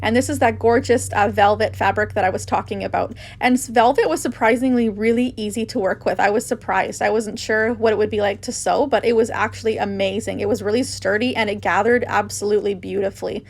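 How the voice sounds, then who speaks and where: A woman's voice: mid-range voice, fairly even intonation, a little fast, vocal fry, hardly any pauses. A woman hosting a craft tutorial, narrating casually with gushing enthusiasm.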